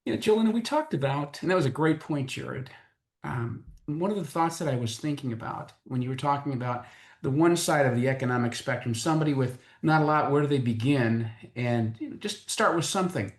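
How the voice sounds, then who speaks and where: A man's voice: medium pitch, lively intonation, a little fast. A man hosting a conversational interview, putting questions to a guest. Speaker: interview host. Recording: echoey, roomy sound.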